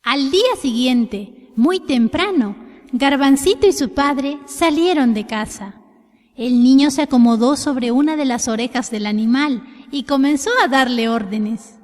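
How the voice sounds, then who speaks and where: A woman narrating a scripted children's tale in an expressive storytelling style. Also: sits high, a little slow. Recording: long hall reverb.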